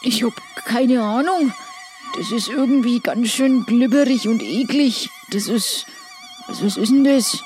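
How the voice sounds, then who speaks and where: A woman's voice: high-pitched, wildly swooping pitch, a little slow, a little mellow, faint music behind. A woman playing a role-playing game, chatting casually and improvising in character.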